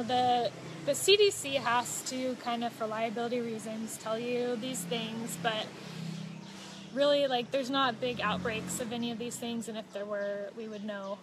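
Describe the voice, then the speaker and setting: A woman in her thirties talks into a phone held close, her voice a little higher than most. She explains things in a relaxed, easy way, with plenty of fillers. Clear outdoor noise runs behind her. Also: measured pace. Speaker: travel vlogger. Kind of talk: casual live chat. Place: outdoors at a home stay patio.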